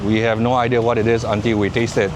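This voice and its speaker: A man's voice: lowish voice, little pitch movement, fast talker. A Singaporean man hosting a travel vlog, chatting upbeat to the camera at an outdoor night market. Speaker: travel vlogger host. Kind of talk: travel vlog narration and chat. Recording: noisy outdoor street recording.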